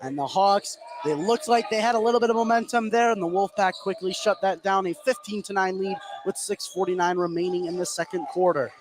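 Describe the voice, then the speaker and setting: A man with a high-pitched voice calls a basketball game live on the radio. He follows each play as it happens in an excitable manner. There is clear noise from the gym behind him. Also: brisk, hardly any pauses. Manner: excitable, calling plays as they happen. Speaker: play-by-play sports announcer. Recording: noisy live gym broadcast.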